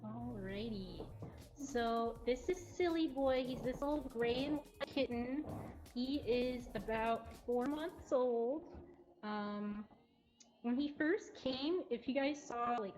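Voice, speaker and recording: A woman's voice: fairly high pitch, darkish tone, low-quality recording. A woman presenting casually on a livestream in an informal, chatty way. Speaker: cat cafe presenter. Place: indoor cat cafe room.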